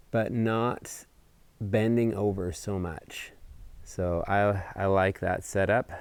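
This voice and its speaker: A man presenting a casual explanatory hobby video update outdoors, talking in deliberate, measured phrases. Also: on the low side, a little mellow, clean tone, dry recording. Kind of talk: casual explanatory vlog talk. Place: outdoors on a house deck.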